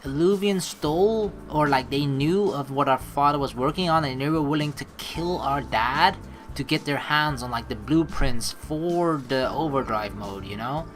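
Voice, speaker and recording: A man's voice: on the high side, moderate pace, fairly bright tone. A man reading a game's story aloud and commenting on it in a casual, slangy way, with game music behind him. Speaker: let's play host.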